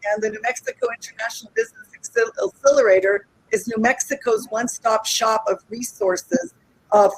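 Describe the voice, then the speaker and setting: A woman's voice: on the high side, gravelly, husky, no room sound, rough recording. A woman hosting an event, thanking and introducing speakers in a partly scripted style. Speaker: event host.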